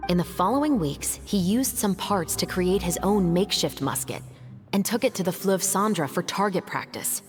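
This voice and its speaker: A woman voicing a composed, methodical young female investigator in a video game, measured and politely firm, over music. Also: fairly low pitch, lively intonation, lightly acted. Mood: measured, analytical. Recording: music playing behind the voice.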